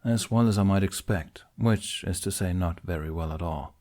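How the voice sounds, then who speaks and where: A man voicing an older male Jedi master who speaks in a measured, apologetic way. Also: sits low, darkish tone, close to an everyday voice. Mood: remorseful. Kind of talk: fan audiobook reading.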